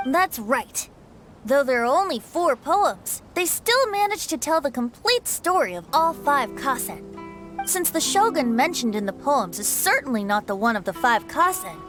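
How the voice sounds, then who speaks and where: A woman's voice: high-pitched, very animated, normal speed, music in the background. A woman voicing a playful young male bard in a game, teasing and patiently explaining. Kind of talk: game cutscene dialogue.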